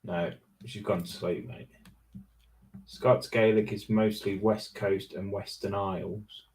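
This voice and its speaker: A man's voice: lowish voice, fairly even intonation, slow, a little mellow. A middle-aged Englishman co-hosting a live craft stream, teasing and joking in casual banter. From England.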